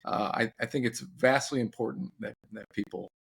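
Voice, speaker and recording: A man around forty with a medium-pitched voice. He talks casually as a co-host on an advice podcast, putting things plainly and feeling his way through sentences in a measured, somewhat halting manner. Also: gravelly, a bit bright, husky.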